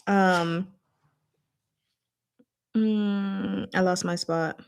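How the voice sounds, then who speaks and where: A woman hosting a casual book discussion, retelling scenes in a giggly, animated, slangy way and acting out the dialogue. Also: medium pitch, extremely slow, vocal fry, slight background noise.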